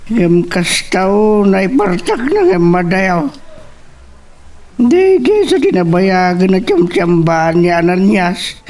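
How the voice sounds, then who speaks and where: A man's voice: sits high, very animated, slow, a little mellow, distant mic, a little hiss or hum, exaggerated voice. A man playing a fretful character muttering to himself with exclamations in an Ilocano radio drama.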